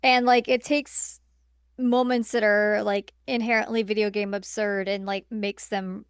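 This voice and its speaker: A woman's voice: mid-range voice, flat delivery, measured pace, smooth, no room sound, clean studio sound. A woman co-hosting a podcast, chatting informally and agreeably, peppering her talk with "like". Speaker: podcast co-host. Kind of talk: casual chat reviewing movies.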